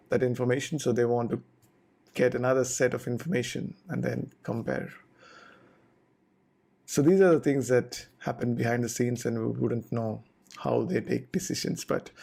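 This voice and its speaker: Guy doing an explainer video, gravelly creaky voice, goes step by step in short phrases. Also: mid-range voice, darkish tone. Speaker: presenter explaining visa procedures. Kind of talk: informal explainer talk to viewers.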